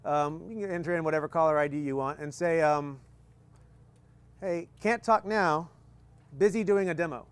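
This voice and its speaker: Man in his thirties, slightly high voice, easygoing demo presenter who talks things through and cracks small jokes; recorded under an outdoor tent. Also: moderate pace, long pauses, clear, resonant tone. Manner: casual, joking, explains step by step with many fillers. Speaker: presenter at a live equipment demonstration. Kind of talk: live technical demo talk.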